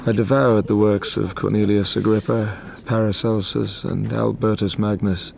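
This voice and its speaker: An actor playing a dying, tormented man who gravely narrates his past in a formal audio drama. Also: lowish voice, level tone, moderate pace, darkish tone, a little hiss or hum. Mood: wistful, brooding.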